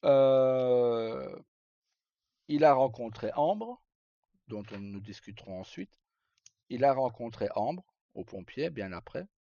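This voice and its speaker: A man's voice: mid-range voice, expressive, slow, long pauses, dry recording, highs cut off. A man chatting casually as a streamer, recounting stories in a rambling, hesitant, colloquial way. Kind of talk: casual live chat, recounting roleplay stories.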